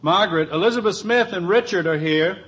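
A man's voice: high voice, a little fast, a bit bright. An actor playing an exasperated suburban father with dry, teasing sarcasm in a 1950s radio sitcom. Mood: teasing, sarcastic. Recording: dull, slightly noisy old radio recording.

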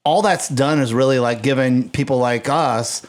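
A man's voice: medium pitch, moderate pace. A man leading a casual chat in a playful, teasing way.

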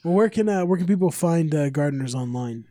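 A man's voice: a little high, expressive, brisk, warm tone, no echo, studio quality. A man hosting a podcast, chatting casually with his guests. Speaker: podcast host. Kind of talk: casual interview chat.

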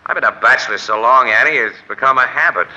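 An actor playing a world-weary, gruff but soft-hearted newspaper photographer in slangy 1940s radio style. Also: male voice, mid-range voice, fast. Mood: wistful. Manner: slangy, wry tough talk. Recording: noisy, dull 1940s radio recording.

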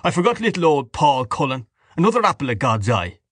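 A man's voice: medium pitch, sing-song, fast, fairly bright tone, dry recording, clean studio sound, voice pushed up. A man playing a scornful, blustering, outspoken Irish father in an audiobook. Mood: mocking.

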